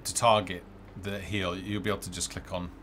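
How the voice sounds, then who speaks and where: A man's voice: deep voice, little pitch movement, fairly quick, a bit bright, a little hiss or hum. A middle-aged man giving casual live commentary on a game he develops, hesitant and thinking aloud as he plays, on a headset. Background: game music.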